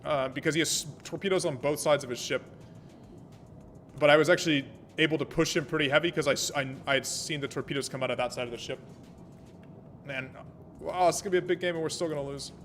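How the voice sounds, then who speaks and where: An American man streaming a naval battle game, thinking aloud about tactics and chatting with viewers in a relaxed way. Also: a little high, fast, long pauses, slight background noise. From American, born in Germany. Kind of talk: live gameplay commentary and chat. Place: Vienna home setup.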